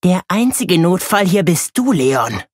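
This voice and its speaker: A man's voice: high voice, big pitch swings, measured pace, slightly rough, dry recording, clean studio sound, voice pushed up, full character voice. A man voicing a sarcastic, nagging teenage superhero sister with a snide delivery in an audiobook. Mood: irritated hissing. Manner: snide, hissing.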